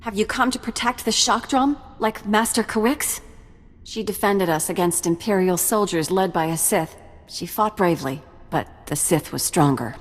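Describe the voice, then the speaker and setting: An actress playing an earnest research facility survivor in a video game, explaining things with concern. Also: female voice, medium pitch, normal speed, lightly acted. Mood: relieved, earnest. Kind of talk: video game cutscene acting. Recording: long hall reverb, music behind.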